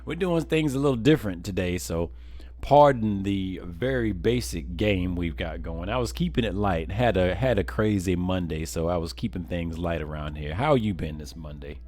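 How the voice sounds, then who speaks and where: A man's voice: low voice, moderate pace, a little mellow. A man live streaming a game, chatting casually with viewers in a folksy, rambling way, often repeating words.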